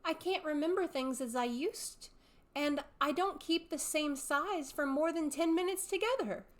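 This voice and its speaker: A young storybook girl, played by a woman, speaks in a high, lifted voice. She is courteous and earnest, hesitant but ready to stand up for herself. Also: measured pace. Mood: melancholy.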